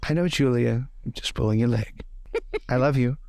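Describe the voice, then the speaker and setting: A player acting a playful, affectionate gentleman of high society with light, joking politeness. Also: moderate pace, dry recording, clean studio sound, lightly acted. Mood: playful, teasing.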